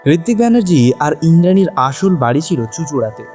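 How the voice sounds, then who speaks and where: A man's voice: fairly high pitch, very animated, a little fast, dark tone, soft background music. A man narrating a scripted audio story in a dramatic storytelling style.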